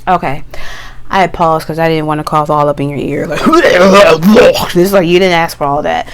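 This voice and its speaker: A middle-aged woman giving a tarot reading in a chatty, slangy way, a little hoarse from a cough. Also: low-pitched. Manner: slow, relaxed, chatty with slang. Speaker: tarot reader. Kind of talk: pick-a-card tarot reading.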